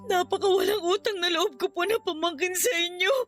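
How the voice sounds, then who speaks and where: A woman's voice: very high-pitched, steady tone, a little fast, soft background music. A woman playing a young, remorseful niece in a radio drama, crying and pleading respectfully. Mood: tearful, remorseful. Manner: pleading, deferential. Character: tearful, remorseful young niece, grateful to her aunt. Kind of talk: Filipino radio drama acting.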